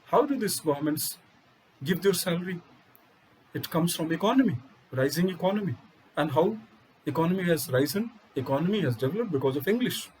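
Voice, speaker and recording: A middle-aged Indian-accented man lectures with forceful conviction, stacking rhetorical questions and repeating his points for emphasis. His voice sits a little high for a man, with a faint hiss of room noise behind it. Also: expressive, a little fast, rough recording. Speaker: UPSC coaching teacher. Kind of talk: impassioned lecture.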